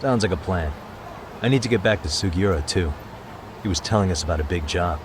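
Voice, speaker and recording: An actor playing a laid-back, wry private detective with understated delivery in a game. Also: male voice, deep voice, brisk, noisy background, close to an everyday voice. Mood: casual. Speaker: detective narrator and protagonist. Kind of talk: understated game narration and dialogue. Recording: music under the voice.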